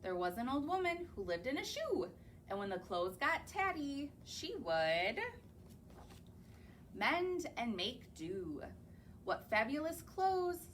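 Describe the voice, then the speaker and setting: Children's librarian reading to kids: bright high voice, sing-song, unhurried, keeps quizzing the little listeners. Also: female voice, slight background noise. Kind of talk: reading picture books aloud to young children. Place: public library.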